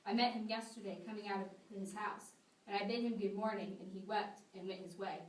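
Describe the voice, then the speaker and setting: A woman's voice: mid-range voice, fairly even intonation, brisk, close to an everyday voice. Woman as an uneasy magistrate, echoey room. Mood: uneasy, suspicious. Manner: formal, deferential. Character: uneasy, suspicious male colonial magistrate. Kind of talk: amateur stage-play acting. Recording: echoey room, distant mic.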